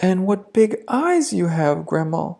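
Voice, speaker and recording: A storyteller voicing an innocent, trusting little fairy-tale girl with a small, soft voice. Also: mid-range voice, big pitch swings, slow, gravelly, voice pushed up, full character voice. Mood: wondering. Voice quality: tiny, soft voice.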